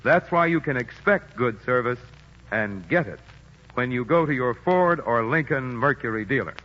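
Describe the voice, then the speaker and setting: A man's voice: mid-range voice, lively intonation, fairly bright tone, slight background noise. Earnest 1940s radio commercial spokesman. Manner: earnest, measured. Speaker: sponsor's spokesman. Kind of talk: scripted commercial read. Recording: muffled 1940s radio broadcast.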